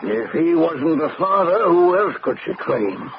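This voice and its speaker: Halting, grieving middle-aged father. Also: fairly high pitch, expressive, vocal fry. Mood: grave, resigned. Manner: halting, measured, paternal. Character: grieving older father, resigned, solicitous, quietly evasive. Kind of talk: old-time radio drama acting. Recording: muffled, noisy old radio recording.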